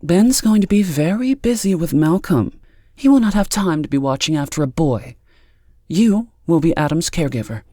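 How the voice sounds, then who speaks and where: A man's voice: a little high, expressive, moderate pace, mellow, no room sound, studio quality, voice pushed up. A man voicing a stern, disdainful woman in authority for an audiobook, speaking in a clipped, impatient and condescending way. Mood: stern, dismissive.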